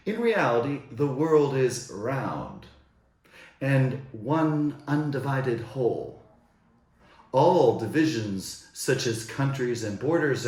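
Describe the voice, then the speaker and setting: A man's voice: medium pitch, reverberant. A man from the United States, delivering an indignant, rhetorical scripted monologue. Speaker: commentator.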